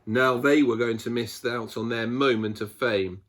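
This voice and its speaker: A man reading a picture book aloud to children, expressively, with dramatic pauses and emphasis. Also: medium pitch, fairly even intonation, fairly bright tone. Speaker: children's storyteller.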